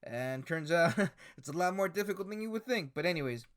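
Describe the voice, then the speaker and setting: A man's voice: fairly high pitch, lively intonation, fairly quick, fairly bright tone. A man in his twenties giving casual commentary on screenshots and articles, hesitant, with many fillers and restarts. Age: 20s. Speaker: commentary YouTuber.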